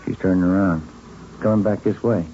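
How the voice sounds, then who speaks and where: A man's voice: low-pitched, fairly even intonation, fairly quick, dark tone, close to an everyday voice. A man playing an alert, terse American police detective in a 1950s radio drama, clipped and urgent. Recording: muffled, faintly noisy 1950s radio recording.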